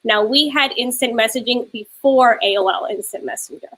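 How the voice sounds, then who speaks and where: A woman from New York City presenting slides and moderating a panel on a video call in a clear, steady way. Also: fairly high pitch, moderate pace, rough recording.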